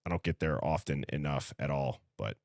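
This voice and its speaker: Livestream host: American male, early thirties, low voice, close dry sound, casual and conversational. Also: lively intonation, normal speed, vocal fry, a little mellow, no room sound. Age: early 30s. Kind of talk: casual live-stream chat, reading out viewer comments.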